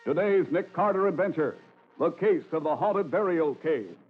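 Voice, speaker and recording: An American man announcing in 1940s radio style, reading scripted narration with polished, emphatic, measured delivery. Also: a little high, lively intonation, breathy edge. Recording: narrow-band old radio recording.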